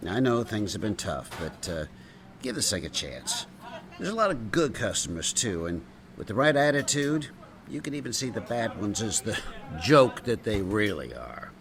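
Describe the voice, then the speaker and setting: An actor playing a wry, big-hearted restaurateur and ex-bartender in a comedic radio drama, teasing and theatrical, full of endearments. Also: male voice, fairly low pitch, big pitch swings, normal speed, a crowd in the background. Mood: wry, philosophical.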